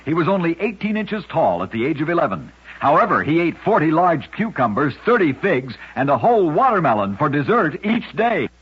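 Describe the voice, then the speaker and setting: A man hosting an old-time radio program, narrating scripted strange tales in a dramatic, deliberate storyteller's delivery. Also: fairly high pitch, very animated, husky. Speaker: radio host and narrator of oddities. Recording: dull lo-fi vintage radio recording.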